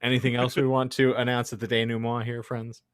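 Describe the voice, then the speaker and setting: A man's voice: medium pitch, monotone, brisk, smooth, no echo, clean studio sound. A man hosting a comedic podcast, speaking in wordy, mock-formal announcer phrases. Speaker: podcast host. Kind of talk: comedic podcast hosting with fan fiction reading.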